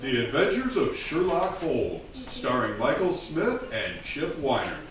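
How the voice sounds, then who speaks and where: A man's voice: mid-range voice, big pitch swings, measured pace, loud music behind the voice. A man playing a genial old-time radio announcer, with a presentational delivery in a staged radio play. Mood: genial, presenting. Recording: very echoey stage, distant mic.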